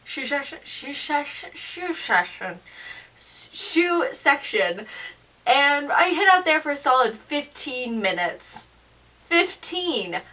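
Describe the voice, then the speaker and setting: A teenage young woman vlogging in a casual, slangy storytelling style. Her voice is high and bright, and she delivers things with animated emphasis and mimicked asides. She sounds a little way off from the microphone. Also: takes it slowly, far from the mic. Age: teens, seventeen.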